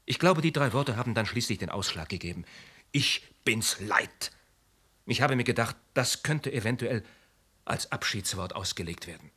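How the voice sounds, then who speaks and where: An actor playing a self-righteous insurance agent who confesses to murder in a deliberate, formal, unrepentant way in a German radio play. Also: male voice, fairly low pitch, expressive, bright, forceful tone, breathy edge, heavily acted. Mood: grave, controlled.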